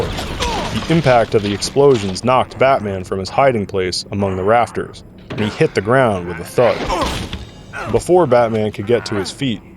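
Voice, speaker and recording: A man's voice: lowish voice, noisy background. A man reading scripted dramatic narration for an audio drama. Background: music.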